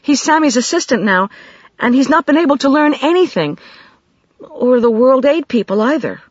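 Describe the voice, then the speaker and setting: A woman's voice: sits high, big pitch swings, normal speed, dry recording, pitched above the performer's own voice. A woman reading an audiobook, voicing an anxious young woman who blurts everything out in a rush, pleading and upset. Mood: frantic. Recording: dry, slightly dull studio recording.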